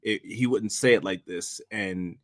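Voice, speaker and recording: A man chatting casually about directing, speaking in a halting, rambling way and often repeating himself. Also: lowish voice, no room sound, clean studio sound. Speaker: director and podcast host. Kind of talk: casual behind-the-scenes chat.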